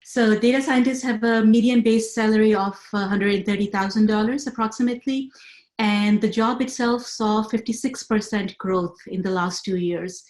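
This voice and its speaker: A woman's voice: medium pitch, steady tone, a little mellow. Pakistan-born woman lecturing in an echoey room. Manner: clear, measured lecturing with frequent fillers. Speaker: webinar speaker. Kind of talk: presenting a webinar talk.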